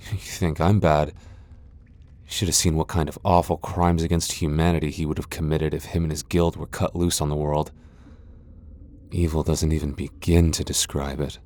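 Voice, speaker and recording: Very deep, brooding vampire lord acted by a man. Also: darkish tone. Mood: grim, scornful. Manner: haughty, sardonic, reluctant. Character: tsundere male vampire lord, proud, guarded, brooding loner. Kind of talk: ASMR boyfriend roleplay audio drama.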